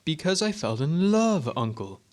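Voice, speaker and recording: A man's voice: a little high, sing-song, normal speed. A man playing a cheerful, good-hearted young nephew in a radio drama, earnest and hearty.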